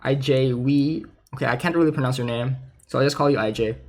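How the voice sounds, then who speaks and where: A man streaming casually about a game, reading aloud and thinking out loud in a hesitant way with plenty of filler words. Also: mid-range voice, a little fast, soft background music. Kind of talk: casual livestream commentary, reading aloud and reacting.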